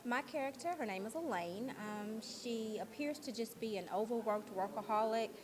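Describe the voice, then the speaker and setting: A woman's voice: fairly high pitch, normal speed. A woman speaking earnestly and emphatically as an interviewed actress. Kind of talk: interview talk. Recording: crowd chatter behind the voice.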